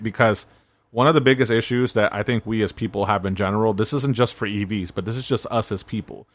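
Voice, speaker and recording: A man with a fairly low voice hosts a self-help podcast in a relaxed, conversational monologue. He keeps checking in with his listeners as he talks. The sound is dry and close, with no room around it. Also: dry recording. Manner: laid-back, conversational, frequent "right?" asides. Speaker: podcast host.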